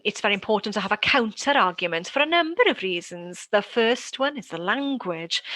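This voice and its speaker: A woman from Wales giving a prepared conference talk as a panelist, measured and deliberate, pausing often. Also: mid-range voice, bright, forceful tone, no echo, low-quality recording. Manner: measured and deliberate, with frequent pauses.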